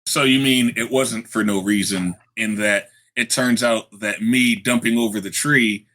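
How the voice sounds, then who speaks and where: A man's voice: on the low side, steady tone, a little slow, a bit bright. A man playing a tabletop game, chatting casually in a wry, theatrical way. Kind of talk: casual in-character game chat.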